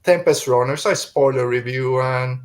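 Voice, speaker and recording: A man's voice: mid-range voice, moderate pace. A middle-aged man who is a native Spanish speaker, hosting a podcast and chatting casually through a review. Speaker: podcast host.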